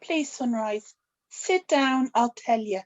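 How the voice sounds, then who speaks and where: A woman playing an older grandmother, gentle but firm and secretive, in a scripted table read. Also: a little high, takes it slowly, lo-fi sound. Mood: firm, guarded.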